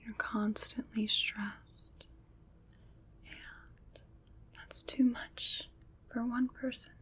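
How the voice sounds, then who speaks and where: Slow, breathy whispering witch, played by a woman. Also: a little high, flat delivery, a bit bright, exaggerated voice. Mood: soothing, concerned. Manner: slow, soothing, reassuring, pausing between short phrases. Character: gentle, caring female witch soothing a worrier. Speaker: ASMR performer. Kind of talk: whispered ASMR roleplay.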